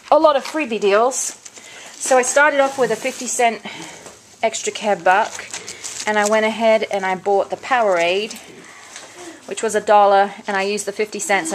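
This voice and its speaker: A woman's voice: medium pitch, a bit bright. A woman in a casual vlog chatting through her shopping haul at home, listing items, coupons and prices. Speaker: vlogger showing a shopping haul. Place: home, indoors. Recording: noisy home video with bag crinkling. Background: crinkling bags and clicks.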